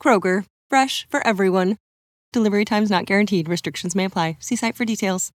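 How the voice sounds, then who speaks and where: A woman's voice: medium pitch, fairly quick, noisy background. A woman reading scripted advertising copy as a commercial voice-over. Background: music bed.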